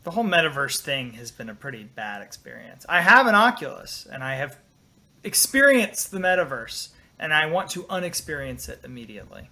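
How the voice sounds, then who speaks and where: A man's voice: fairly high pitch, big pitch swings, brisk, bright, forceful tone. A man talking casually as a podcast co-host. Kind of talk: casual chat.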